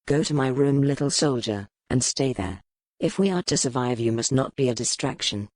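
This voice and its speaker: A woman narrator voicing a mature medieval Duke, stern and protective, with a measured, formal, authoritative manner. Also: rumbling low, expressive, darkish tone, no room sound, clean studio sound, pitched below the performer's own voice. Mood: commanding.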